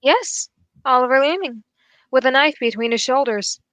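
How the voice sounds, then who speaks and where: A young woman playing a keen, courteous detective in a radio mystery, phrasing everything formally and deliberately. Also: sits high, animated, a bit bright, dry recording. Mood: urgent, resolute. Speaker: actor in a student radio drama. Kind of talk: old-time radio mystery acting.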